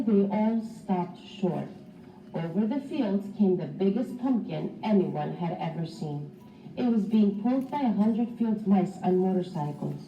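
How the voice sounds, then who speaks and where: A woman teacher reading a children's storybook aloud in an expressive, instructive way for young pupils. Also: mid-range voice. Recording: roomy, distant mic, slightly dull.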